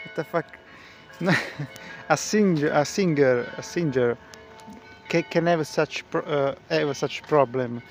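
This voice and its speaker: Halting man commenting over a stream. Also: on the high side, faint music behind. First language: Italian. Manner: halting, searching for words, many fillers. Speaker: reaction video host.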